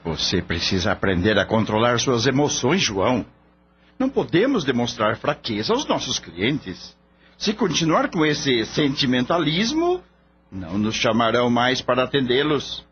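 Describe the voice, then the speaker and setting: Actor as scornful boss, animated, dull audio. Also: male voice, mid-range voice, normal speed. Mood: bossy, stern. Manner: blunt, mocking, lecturing. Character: skeptical, scornful male veterinarian boss. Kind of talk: radio drama acting. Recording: dull lo-fi audio, faint hum.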